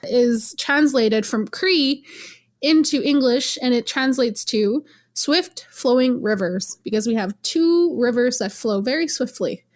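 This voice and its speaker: Young woman, from Canada, interview guest, lively storyteller, fairly high voice. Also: unhurried. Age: young adult. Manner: lively storyteller, laughs often, teasing. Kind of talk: casual interview.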